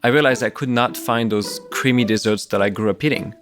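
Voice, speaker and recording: A man from France with a southern French accent, pitching his startup to investors in an earnest, slightly halting way. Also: music in the background. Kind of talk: business pitch and conversation.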